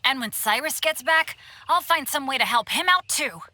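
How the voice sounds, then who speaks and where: Sincere, upbeat young adventurer for an anime game; bright, forward voice on the high side. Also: female voice, expressive, moderate pace, slight background noise, full character voice. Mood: earnest. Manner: earnest, sincere.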